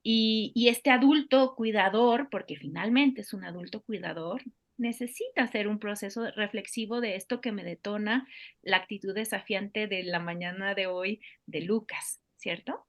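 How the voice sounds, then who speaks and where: A woman's voice: mid-range voice, moderate pace. A woman hosting an interview, responding thoughtfully and building on her guest's points. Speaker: host.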